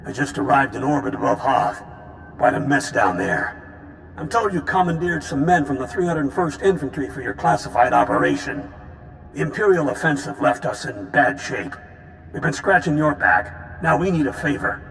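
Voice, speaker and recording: An actor playing a brisk, demanding army general in a video game, curt and authoritative. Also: male voice, mid-range voice, lively intonation, gravelly, a bit bright, faint music behind, low-quality recording. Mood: brisk, commanding. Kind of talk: video game cutscene acting.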